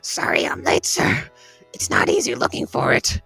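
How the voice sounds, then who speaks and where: A man's voice: medium pitch, wildly swooping pitch, moderate pace, creaky, sharp tone, slightly rough, faint music behind, exaggerated voice. A man voicing a nervous, deferential flying henchman who pleads with his boss in an amateur cartoon dub. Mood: apologetic. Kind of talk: amateur comic dub character acting.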